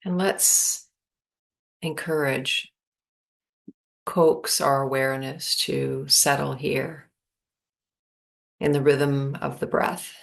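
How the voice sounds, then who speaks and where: A woman guides a meditation in a low voice with a creaky edge. She speaks very slowly and calmly, leaving long pauses between her phrases. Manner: slow, calm, spacious phrasing with long pauses.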